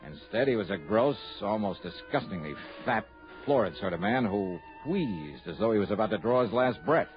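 A man's voice: on the low side, animated, normal speed, few pauses, lightly acted. A male actor playing a wry, sceptical investigator who also narrates a 1960s radio detective drama. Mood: wry narration. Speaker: lead and first-person narrator. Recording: dull old radio recording with music.

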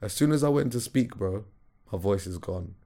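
Hoarse slangy man telling a story. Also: lowish voice, normal speed. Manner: animated storyteller, slangy, calls everyone bro. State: hoarse, recovering from illness. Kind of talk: casual chat.

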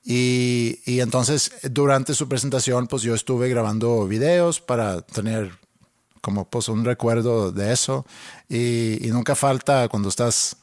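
A man's voice: medium pitch, unhurried, a little mellow, no echo. Man co-hosting a podcast, Monterrey accent with a foreign edge, hesitant, dry close sound. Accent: Monterrey Spanish with a foreign touch. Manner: hesitant, self-conscious, repeats words. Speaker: podcast co-host. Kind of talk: casual podcast chat.

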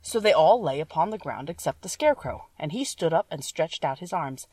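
A woman's voice: deep voice, animated, brisk, a little mellow, slightly rough, no room sound, distant mic. A woman reading a children's story aloud as a scripted audiobook narration.

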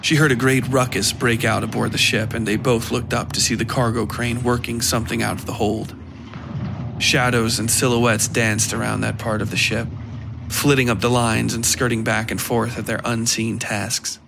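A man reading scripted dark fiction aloud as its narrator. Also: medium pitch, level tone, moderate pace, gravelly, background noise.

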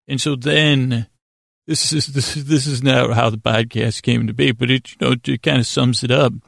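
A man hosting a sleep podcast in a casual, meandering monologue, halting and stammering with self-deprecating asides. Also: mid-range voice, steady tone, creaky, fairly bright tone, no echo, clean studio sound. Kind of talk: rambling, casual bedtime monologue.

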